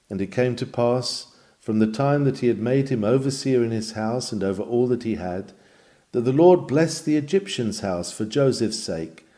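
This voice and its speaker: Mellow-voiced man reading a sermon. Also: mid-range voice. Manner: solemn, measured, formal oratory. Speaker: reader of a sermon. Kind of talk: sermon read aloud.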